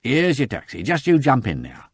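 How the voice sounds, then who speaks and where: A man voicing a gruff, plain-spoken middle-aged police inspector in an audiobook reading. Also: medium pitch, wildly swooping pitch, normal speed, dry recording, clean studio sound, pitched above the performer's own voice, heavily acted. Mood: coaxing. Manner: blunt, common-speaking, coaxing.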